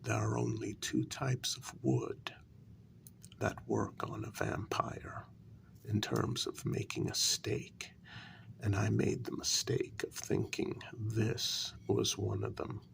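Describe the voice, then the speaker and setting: A man playing a wounded, bitter vampire in a soft-spoken ASMR role-play, speaking in hushed, deliberate half-whispers with a gravelly voice. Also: fairly low pitch, very animated, slight background noise, full character voice. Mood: cold, explanatory. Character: wounded, bitter male vampire confronting his queen.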